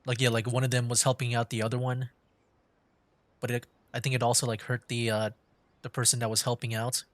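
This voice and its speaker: A man's voice: mid-range voice, flat delivery, a little fast, no room sound. A man giving casual gaming commentary as a streamer, rambling and hesitant, close to the microphone. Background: faint game music.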